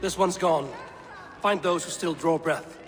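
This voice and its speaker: A man's voice: a little high, a little slow, over music, close to an everyday voice. A man playing a bold, wry Viking warrior in a video game, assured and terse. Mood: steady, wry. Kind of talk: video game cutscene dialogue. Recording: clear outdoor ambient noise behind.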